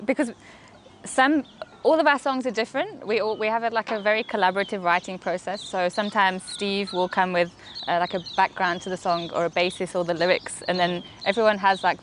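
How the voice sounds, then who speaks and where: Woman musician, friendly and chatty, some faint noise behind. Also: medium pitch. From United Kingdom. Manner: friendly, laughs easily. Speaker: woodwind player, interviewee. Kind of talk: casual interview chat.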